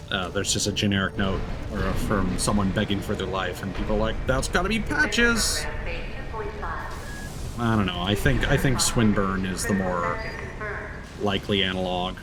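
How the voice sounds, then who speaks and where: A man with a low voice gives casual commentary on a game stream. He talks in a musing, conversational way, with clear music behind him. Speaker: livestreamer playing a video game.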